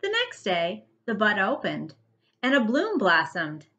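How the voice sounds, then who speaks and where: Animated, bright-voiced woman reading to children. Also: medium pitch, a little slow, lo-fi sound. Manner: animated, emphatic storytelling. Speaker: first grade teacher reading to pupils. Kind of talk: expressive picture-book read-aloud for young children.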